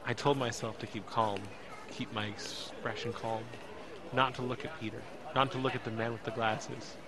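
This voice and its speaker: A man's voice: mid-range voice, flat delivery, moderate pace, lightly acted. An actor as a shaken young man narrating, crowd behind. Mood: tense dread. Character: frightened young man recounting a tense airport ordeal. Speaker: narrator of an audio drama. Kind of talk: first-person dramatic narration. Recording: busy airport crowd behind.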